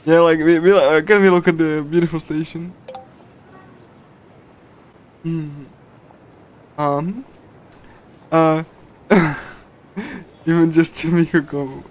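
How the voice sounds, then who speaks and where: Halting, higher-voiced man streaming a game. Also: level tone, a little hiss or hum. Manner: halting, repetitive, giggly. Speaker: livestreamer reading a visual novel. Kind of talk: casual game readthrough with translation and commentary. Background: game music.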